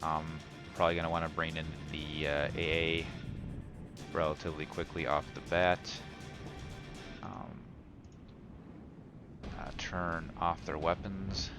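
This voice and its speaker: A man thinking aloud as he plays a game, casual and halting, with many uhs and ums. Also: extremely low pitch, level tone. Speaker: gamer commentating own gameplay. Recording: game battle music and gunfire behind. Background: game music, artillery, gunfire, vehicles.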